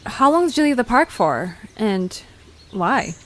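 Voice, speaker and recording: A woman's voice: fairly high pitch, sing-song, a little slow, fairly bright tone, a little hiss or hum. A woman playing an eager, inquisitive young adventurer in an audio drama. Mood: probing. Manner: eager, questioning. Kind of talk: scripted audio drama acting.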